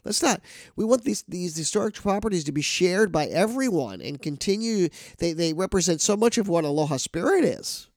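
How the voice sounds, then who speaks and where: A man's voice: high-pitched, lively intonation, moderate pace, a little mellow, no echo, clean studio sound. A man with a nasal, congested voice from a cold, hosting a casual news talk show and rambling with many restarts. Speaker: podcast host.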